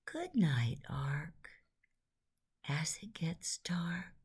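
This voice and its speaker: Very slow, breathy low-voiced woman telling bedtime stories. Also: very animated. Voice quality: breathy, husky, often near whisper. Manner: hushed, soothing, slow with long pauses. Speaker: bedtime story narrator. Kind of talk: guided imagery bedtime storytelling.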